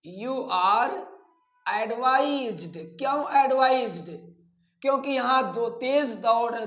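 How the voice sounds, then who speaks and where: Male teacher, Indian accent, slow deliberate delivery, didactic, repeats phrases, echoey room. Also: extremely high pitch, a bit bright. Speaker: English grammar teacher. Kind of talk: classroom grammar lesson.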